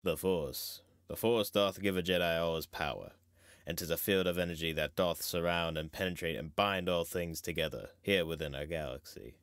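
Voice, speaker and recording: A man playing a wise, grave old knight and mentor in a measured, theatrical Shakespearean reading. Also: deep voice, fairly bright tone, smooth, dry recording, studio quality.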